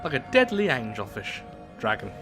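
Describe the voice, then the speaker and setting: A man's voice: medium pitch, huge pitch swings, moderate pace. A man hosting a strategy game roleplay, chatting casually and poking fun at himself as he goes. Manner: chatty, self-deprecating. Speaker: gaming video host. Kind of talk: casual game commentary with read-aloud storytelling. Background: faint music.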